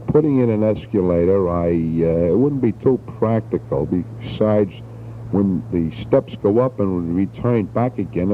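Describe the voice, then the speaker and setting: A middle-aged man, rambling casually and jokily as he records a spoken letter to his family on a 1960s home cassette. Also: sits low. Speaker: father recording a taped letter. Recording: muffled, noisy 1960s home cassette tape.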